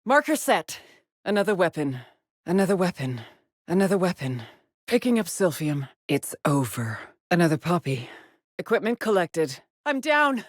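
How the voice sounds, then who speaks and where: Haunted low-voiced woman astronaut. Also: very animated, normal speed, no echo, studio quality. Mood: desperate, pained, then terse, flat. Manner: terse clipped callouts and brooding, haunted murmurs. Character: weary, haunted woman astronaut stranded on alien planet. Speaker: video game voice actress. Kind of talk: scripted game character voice lines.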